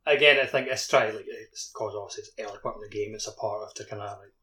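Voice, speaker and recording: A man's voice: on the low side, quick pace, bright, forceful tone. A man co-hosting a podcast, telling things in a casual, rambling way full of filler words. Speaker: podcast co-host. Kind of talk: casual chat, informal anecdotes and explanations.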